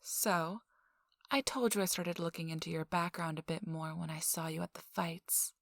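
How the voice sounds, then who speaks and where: A woman's voice: on the low side, fairly even intonation, creaky, fairly bright tone, no room sound. A woman playing a feisty, self-assured young socialite in an intimate ASMR audio roleplay, teasing and dryly sarcastic, sometimes dropping toward a whisper. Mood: teasing, smug. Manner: slow, teasing, dry sarcasm, drifting into whispers.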